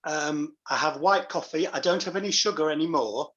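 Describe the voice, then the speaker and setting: A man's voice: on the high side, moderate pace, fairly bright tone. A man chatting casually as an interview guest, affable with some dry joking. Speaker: guest, pantomime dame performer. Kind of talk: casual chat. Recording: slightly dull, lo-fi call audio.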